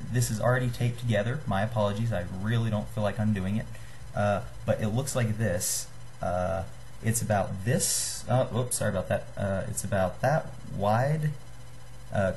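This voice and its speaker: A young man giving a casual, unscripted tutorial to a webcam in a small room, in a hesitant, apologetic, self-deprecating manner. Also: a little mellow. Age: young adult. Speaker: DIY video maker giving a tutorial. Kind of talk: casual unscripted how-to talk. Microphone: built-in webcam at desk distance. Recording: noisy low-grade webcam microphone.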